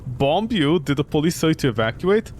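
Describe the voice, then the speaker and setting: A man's voice: a little high, big pitch swings, fairly quick, darkish tone, slight background noise. Inquisitive young detective, read by a man. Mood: questioning. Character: young male private detective, inquisitive and steady.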